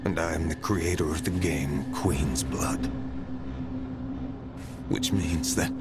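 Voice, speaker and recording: A haunted male ghost speaks low and slow, full of dread. His delivery is solemn and theatrical, with some gravel, under loud game music. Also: exaggerated voice. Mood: haunted, foreboding. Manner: ominous, theatrical. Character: tormented ghost of a male game creator, foreboding. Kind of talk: solemn dramatic monologue.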